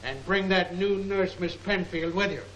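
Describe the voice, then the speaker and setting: An actor playing a brisk, obedient villain's lieutenant giving clipped, businesslike orders in a 1940s film serial. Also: male voice, high-pitched, fairly bright tone, slight background noise, rough recording.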